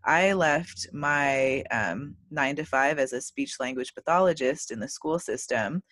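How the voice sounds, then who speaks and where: A woman's voice: extremely low pitch, unhurried, fairly bright tone, dry recording. A woman hosting a podcast who chats casually with her guest, using many "like" and "um" fillers. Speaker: podcast host. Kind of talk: casual interview conversation.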